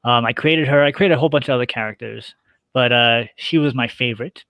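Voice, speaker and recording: A middle-aged man talking casually as an interview guest, explaining details in a halting, list-like way. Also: medium pitch, a bit bright, dry recording. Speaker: guest, radio show host.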